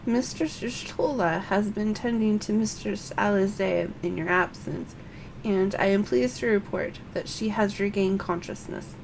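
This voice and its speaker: A woman game streamer chatting casually and reading game dialogue aloud, with a creaky voice. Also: mid-range voice, unhurried, a little hiss or hum, rough recording.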